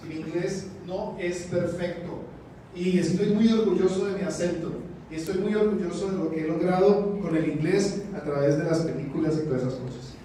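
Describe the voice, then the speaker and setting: A man's voice: sits high, warm tone, a little hiss or hum. A man giving an encouraging talk to teachers at a conference, addressing the audience directly. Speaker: conference presenter. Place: conference hall. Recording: echoey hall, distant mic.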